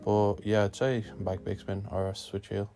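A man's voice: fairly low pitch, flat delivery, measured pace, warm tone, faint music behind. A young man from Lithuania, a guest skater answering a questionnaire in a laid-back, slangy way with some swearing. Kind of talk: casual spoken answers.

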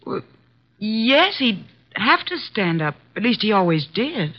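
An actress playing a sharp, dismissive widow in a 1950s radio drama, on a muffled old broadcast recording. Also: female voice, mid-range voice, big pitch swings, measured pace.

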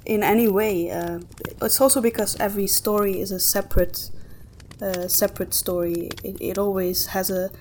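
A woman's voice: mid-range voice, fairly even intonation, moderate pace, a little mellow. A woman co-hosting a podcast, talking casually and conversationally. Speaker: podcast co-host. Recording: clear background noise.

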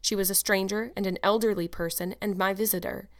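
A woman's voice: mid-range voice, fairly even intonation, a little fast, natural voice. A woman voicing a stern guardian in her fifties in a storybook, with a firm, dry, scolding manner. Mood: softer, admonishing.

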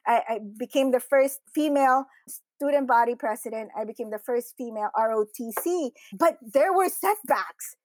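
High-voiced woman telling her story. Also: normal speed, darkish tone, no echo. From Philippines. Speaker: interview guest, women's community founder. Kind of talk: personal storytelling interview answers.

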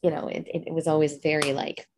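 A native Punjabi-speaking woman in casual, reflective conversation, often halting as she searches for the right words. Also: low voice, flat delivery, darkish tone, slight background noise.

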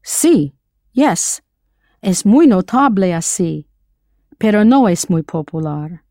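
Female narrator, English-speaking, clear and encouraging, dry studio sound. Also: mid-range voice, big pitch swings, dark tone, dry recording. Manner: clear, encouraging, slows down to model pronunciation. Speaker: language teacher. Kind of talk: scripted audio lesson narration.

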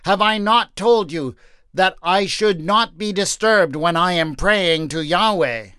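A man voicing a pompous, self-righteous man who prays loudly and scoffs at his wife. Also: high voice, a little slow, clean tone, no echo, pitched above the performer's own voice. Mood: outraged.

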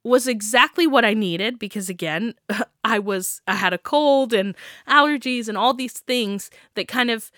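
A woman's voice: on the high side, unhurried, bright, forceful tone, dry recording, clean studio sound. A young woman hosting her own podcast, stuffy from a sinus infection, chatting casually with frequent laughs and ums. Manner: chatty, giggly, many ums. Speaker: podcast host. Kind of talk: casual solo podcast chat.

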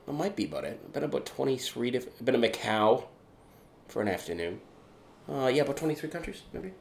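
A young adult man from Canada, a street performer and escape artist, talking about his act in a relaxed interview and driving his points home with emphatic repeats. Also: medium pitch, a little fast, creaky, slight background noise.

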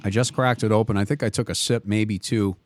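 A man's voice: on the low side, fairly even intonation, fairly quick, no room sound. Rambling Boston-accented male host. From metropolitan Boston. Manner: talkative, lots of restarts and trailing asides. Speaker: podcast host. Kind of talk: rambling conversational storytelling.

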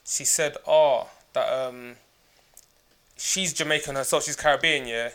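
A man's voice: medium pitch, measured pace, fairly bright tone, clean tone. A young man co-hosting a casual chat, eager and keen to jump in with his point. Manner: eager, keeps jumping in with "but". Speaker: podcast co-host.

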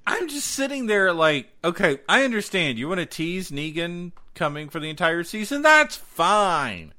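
A man with a fairly high, bright voice co-hosts a casual podcast. His pitch swings widely as he exclaims, swears and rants sarcastically in loose banter. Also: big pitch swings, moderate pace. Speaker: podcast co-host. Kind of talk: casual banter about TV and games.

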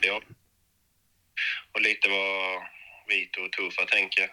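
Male co-host, very deep and flat, measured delivery. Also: rough recording. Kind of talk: casual chat.

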